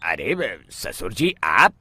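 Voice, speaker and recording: A man's voice: deep voice, huge pitch swings, slow pace, bright, forceful tone, husky, dry recording, full character voice. A man voicing a timid young groom-to-be in a cartoon, nervous and pleading. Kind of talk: cartoon voice acting.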